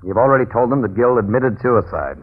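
A man's voice: medium pitch, expressive, a little fast, husky. A man playing a defensive, indignant young lover with combative retorts in a 1940s radio drama. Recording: muffled, narrow 1940s radio recording.

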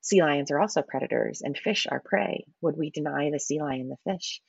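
A woman's voice: sits low, normal speed, creaky, husky, dry recording, dull audio. A woman marine ecologist answering interview questions in a thoughtful, explanatory way, often restarting her sentences.

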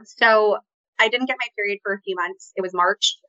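A woman's voice: medium pitch, normal speed, bright, forceful tone, dry recording, muffled recording. A woman in her late thirties telling a personal story in a candid, chatty way, with many "like" fillers. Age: 30s. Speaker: podcast guest.

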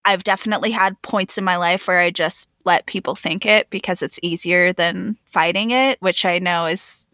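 Woman podcast co-host, bright and forceful tone, dry close mic, easygoing chatter with frequent laughs. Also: lowish voice, steady tone, moderate pace, hardly any pauses, no echo. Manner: laughs often, reads listener questions aloud. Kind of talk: casual conversational podcast.

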